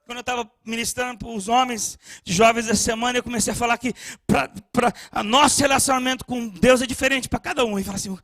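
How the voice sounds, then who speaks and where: A male pastor preaching a sermon with passion, moving between soft storytelling and shouted appeals, often stammering as he restarts phrases. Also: very high voice, fairly even intonation, quick pace, no echo.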